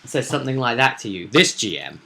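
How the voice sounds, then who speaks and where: A man's voice: medium pitch, sing-song, fairly quick, gravelly, pushed and bright. A man chatting as a game master, recounting a tabletop roleplay session with emphatic, dramatic storytelling.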